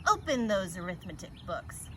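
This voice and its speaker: A woman's voice: lowish voice, sing-song, normal speed. A woman voicing a stern, unpleasant substitute teacher who hisses and snaps her orders in a children's storybook. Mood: stern, menacing. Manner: hissing, snapping orders. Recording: noisy, picked up from a distance.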